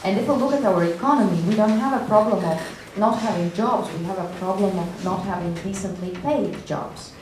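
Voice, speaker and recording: A woman speaking as a panelist, explaining in a measured way during a discussion. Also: medium pitch, a little mellow. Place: indoor panel discussion room. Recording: distant echoey room, faint noise.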